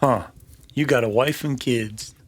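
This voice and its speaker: A dazed, wry cowboy. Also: male voice, mid-range voice, unhurried, breathy edge. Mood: quiet, wry.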